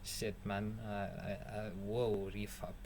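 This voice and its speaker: A man's voice: fairly low pitch, little pitch movement, slow pace. A young man with a Kenyan accent, a music producer giving a casual, rambling beat-making tutorial, joking and swearing, dropping Swahili phrases. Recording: faint background noise.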